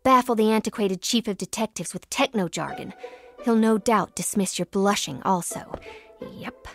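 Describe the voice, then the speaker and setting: An actress playing a young superheroine in an animated comic, spirited, defiant and sarcastic. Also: female voice, medium pitch, moderate pace, vocal fry, lightly acted. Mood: wry. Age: young adult. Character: feisty young woman superheroine, defiant and wry. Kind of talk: animated motion comic acting with inner monologue. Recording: music under the voice.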